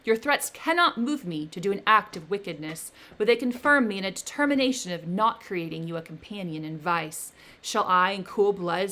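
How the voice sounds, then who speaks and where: A woman's voice: mid-range voice, lightly acted. Woman reader voicing a young male scientist: defiant, furious, firm, emphatic. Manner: loud, emphatic declamation.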